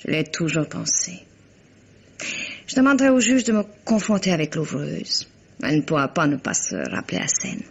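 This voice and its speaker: A woman's voice: deep voice, lively intonation, moderate pace, gravelly, breathy edge, exaggerated voice. An actress playing a proud, haughty society woman from Spain in an emphatic vintage French radio mystery drama. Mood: earnest, dramatic. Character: proud, theatrical Spanish society woman named Carmen, accused. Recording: dull old lo-fi radio recording.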